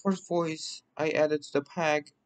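A man presenting a software demo in a casual walkthrough, pausing haltingly between phrases. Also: a little high, fairly even intonation. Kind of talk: casual screen-recording walkthrough. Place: voice-over on a screen recording.

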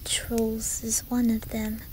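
A woman voicing a shy, traumatized young boy with a Swedish accent in a soft, whispery, hesitant ASMR roleplay. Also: fairly high pitch, completely flat delivery, a little hiss or hum, rough recording. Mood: haunted, uneasy. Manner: hesitant, halting, gentle.

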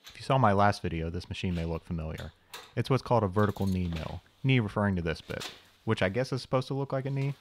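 A man's voice: on the low side, fast talker, slight background noise. A young man narrating a scripted shop-tour voice-over, explaining machines with dry, wry humor. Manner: dry, wry humor with self-deprecating asides. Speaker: channel host, machinist.

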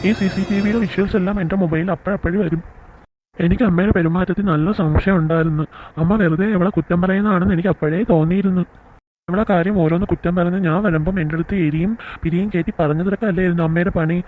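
A man's voice: high-pitched, steady tone, quick pace, narrow, tinny audio. A man playing an indignant young married son in an amateur cartoon skit, scolding emphatically. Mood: accusing, firm.

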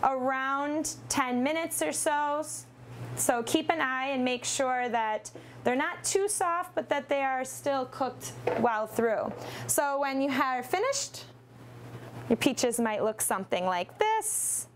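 A woman's voice: high voice, takes it slowly, a little hiss or hum. A young woman presenting a cooking demonstration in a large studio kitchen, explaining each step steadily with a few ums. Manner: instructive, steady, with occasional ums. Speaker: nutrition segment presenter.

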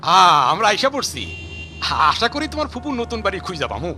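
Village dad, cartoon, worried, hesitant, protective, rural Bangladeshi accent, very wide pitch swings. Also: male voice, big pitch swings, normal speed, over music. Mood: anxious. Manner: anxious, hesitant.

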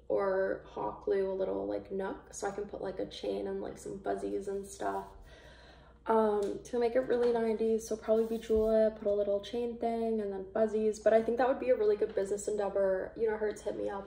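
Chatty young woman with a gravelly edge. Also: mid-range voice, dark tone. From Canada. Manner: chatty, rambling, many likes and ums. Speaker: vlogger. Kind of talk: casual product review. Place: small plain room indoors. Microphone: camera a few feet away. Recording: roomy, distant camera sound.

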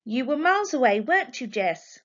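A woman's voice: sits high, big pitch swings, brisk, fairly bright tone, voice pushed up. A woman voicing a condescending, smugly concerned male doctor in a storybook. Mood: patronising. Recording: dull lo-fi home recording.

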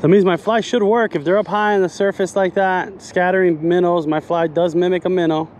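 A man in his thirties vlogging while fly fishing outdoors by a lake, recorded on an action camera, talking casually and getting excited when fish show up. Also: sits high, fairly quick, slight background noise. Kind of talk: casual vlog narration.

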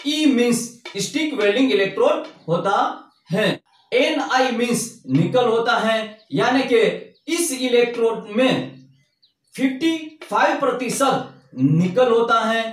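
Middle-aged man, welding instructor, slow repetitive explaining, very echoey room, mic distant. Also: extremely high pitch. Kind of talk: whiteboard lesson. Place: indoor room in front of a whiteboard. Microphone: lapel mic.

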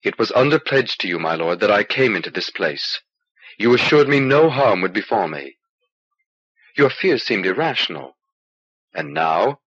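A man's voice: sing-song, normal speed, a bit bright, breathy edge, dry recording, highs cut off, full character voice. A man voicing a wary, earnest juggler pledged to his lord in an audiobook, speaking tensely, on an old cassette transfer. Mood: aggrieved. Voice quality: low voice.

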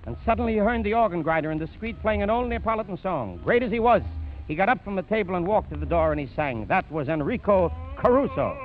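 A man's voice: high voice, lively intonation, fast talker, faint music behind. A man hosting a 1930s radio variety show, making florid, theatrical introductions. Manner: florid, theatrical showman's patter. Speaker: radio host. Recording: noisy, dull 1930s radio broadcast.

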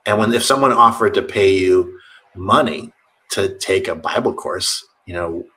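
A man's voice: low-pitched, a little slow, bright, forceful tone, poor audio. A man in his thirties, a pastor, talking earnestly over a video call from his home office, often restarting his sentences. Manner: earnest, rambling with many restarts. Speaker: pastor, guest.

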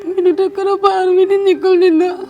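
A woman's voice: flat delivery, fast, darkish tone. A woman acting a distressed young married woman who cries and sounds despairing. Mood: subdued. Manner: sobbing, despairing, low subdued delivery. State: crying. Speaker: actor. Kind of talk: scripted drama acting. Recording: distant mic with faint music.

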